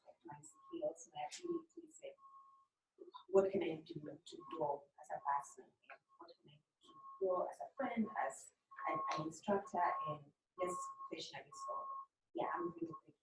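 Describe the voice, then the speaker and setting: A woman from Kenya, a Swahili speaker and doctoral student, speaking earnestly and reflectively with many fillers. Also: on the low side. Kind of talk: panel self-introduction. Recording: distant mic in echoey room, faint noise.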